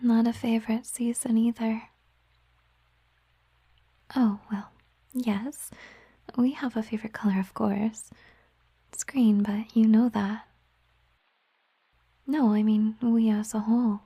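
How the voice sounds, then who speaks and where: A woman voicing a gentle, affectionate siren in a whispered ASMR roleplay, speaking softly and intimately in an unhurried, musing way, close to the microphone. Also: a little high, little pitch movement, no room sound, heavily acted. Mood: tender, musing. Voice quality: soft, breathy whisper. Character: gentle, affectionate female siren, a mermaid girlfriend. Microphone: close microphone, dry sound.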